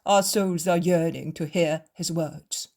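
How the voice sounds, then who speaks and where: A woman's voice: lowish voice, steady tone, slow, gravelly, a little mellow. A woman reading a story aloud, voicing proud male Pharisees who berate the host, then turn falsely pious. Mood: fawning. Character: two proud, vainglorious male Pharisees, angry then fawning.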